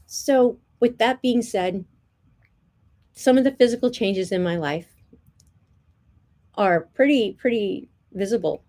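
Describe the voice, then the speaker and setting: A woman's voice: on the low side, darkish tone. A middle-aged woman from New Jersey, talking in a casual, earnest testimony to the camera, chatty and repeating herself with many "um"s. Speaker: vlogger.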